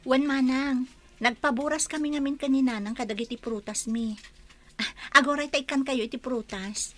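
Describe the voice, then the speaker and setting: A woman acting as a younger village neighbour with a high voice. She is polite and deferential, often sounding puzzled, in an Ilocano comedy radio play. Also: fairly even intonation, moderate pace.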